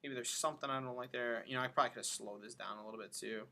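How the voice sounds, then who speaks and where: A man giving an informal software tutorial, thinking aloud as he demonstrates each step. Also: medium pitch, fairly even intonation, rapid-fire, creaky. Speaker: animation instructor. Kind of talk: casual screen-recorded tutorial narration.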